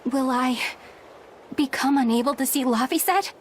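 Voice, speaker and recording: A woman's voice: high-pitched, normal speed, a little hiss or hum, close to an everyday voice. A woman playing an earnest, principled young woman in a fantasy video game, speaking sincerely and properly.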